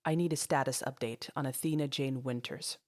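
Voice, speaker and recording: A woman's voice: a bass voice, steady tone, a little fast, a little mellow. A woman voicing a protective mother in an audio story, stoic, firm and coolly polite. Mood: polite, insistent. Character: composed, icily polite, protective mother demanding answers.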